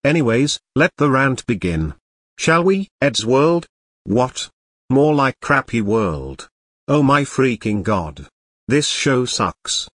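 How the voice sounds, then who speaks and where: A man's voice: medium pitch, a bit bright, no room sound. A man voicing a bratty young boy who rants and insults in a cartoon. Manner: whiny, insulting ranting. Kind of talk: cartoon grounded-video dialogue.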